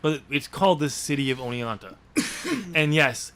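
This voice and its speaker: An American man in a casual podcast chat, talking haltingly and often restarting his sentences. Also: a little high, lively intonation, fairly bright tone. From New York State, US. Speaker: podcast host and author discussing his novel.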